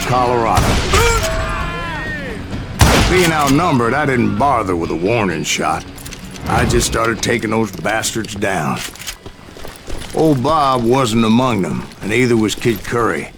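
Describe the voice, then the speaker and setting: An actor playing a grizzled older bounty hunter telling his boastful tale in a wry, unhurried American Western drawl. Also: fairly low pitch, vocal fry, very noisy. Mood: gritty, resolute. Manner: folksy, wry, unhurried storytelling. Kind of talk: dramatic first-person game narration. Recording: loud music under the voice.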